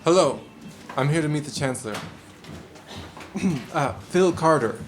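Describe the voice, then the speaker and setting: A nervous young moon-station technician in an audio drama. His voice sits a little high and jumps about as he hesitates and gets exasperated, with some faint noise behind it. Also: lively intonation, a little slow. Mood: nervous, hesitant.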